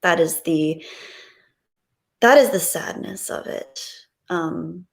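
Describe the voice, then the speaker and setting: Woman streamer just chatting, reading comments out loud, gushing thanks, kinda playful. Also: lowish voice, slow pace, gravelly. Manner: gushing, appreciative. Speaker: livestream host. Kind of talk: casual chat, reading out viewer comments.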